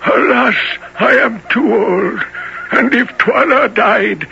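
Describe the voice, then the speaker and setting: A man acting a kindly, mournful old African nobleman in a radio drama, speaking slowly in archaic, formal phrases. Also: very high-pitched, creaky, sharp tone, heavily acted. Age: older. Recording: dull, noisy old radio broadcast.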